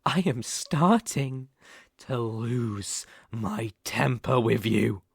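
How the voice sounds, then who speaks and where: Man acting a slow, obsessive British kidnapper boyfriend. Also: mid-range voice, lively intonation, gravelly, slightly rough, dry recording, studio quality, full character voice. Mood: angry, controlled. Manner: condescending, menacing pet-name coaxing. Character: obsessive possessive young male kidnapper, volatile, controlling. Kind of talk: intimate ASMR roleplay acting. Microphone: close microphone.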